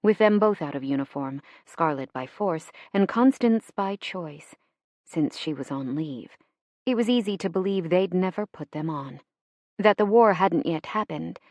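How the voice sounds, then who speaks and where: A woman reading fiction as an audiobook narrator in an expressive, measured, dramatic storytelling style. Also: on the low side, no echo.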